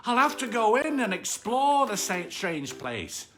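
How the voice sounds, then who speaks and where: A woman's voice: a little high, big pitch swings, measured pace, a bit bright, faint music behind, voice pushed up. A woman voicing a small, plucky boy adventurer in a children's story, emphatic and exclaiming. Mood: bold.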